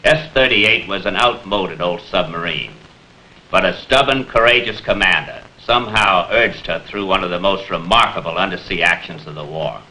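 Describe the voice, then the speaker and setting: Deep, forceful older American man, formal. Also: moderate pace. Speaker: host, retired rear admiral. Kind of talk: scripted 1950s television introduction. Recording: noisy 1950s television film soundtrack.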